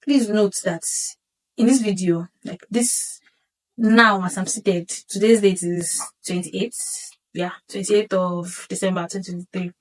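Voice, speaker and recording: A woman in her twenties hosting her own vlog, seated and chatting casually, often pausing to correct herself with light laughs. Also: medium pitch, fairly even intonation, rough recording. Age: 20s. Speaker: vlog host. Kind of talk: casual solo vlog monologue. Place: seated indoors.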